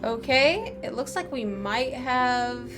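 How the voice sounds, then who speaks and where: A woman streams a video game with casual running commentary. Her voice sits somewhat high. Game music is clearly audible behind her. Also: lively intonation, slow pace.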